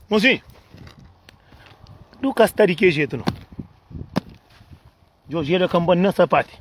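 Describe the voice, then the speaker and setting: A man's voice: sits high, slight background noise. A man from Cape Verde vlogging outdoors in the cold, rambling and repeating himself in a playful way.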